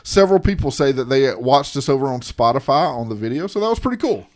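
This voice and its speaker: A man's voice: mid-range voice, lively intonation, fast, a little mellow. Southern man co-hosting a podcast, rambling. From Southern United States. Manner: rambling, restarts sentences, teasing. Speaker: podcast co-host. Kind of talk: casual chat.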